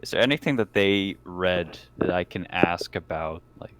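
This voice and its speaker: A man with a low voice takes part in a tabletop roleplaying game. He improvises what his character says and explains, in casual table talk. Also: moderate pace, fairly bright tone.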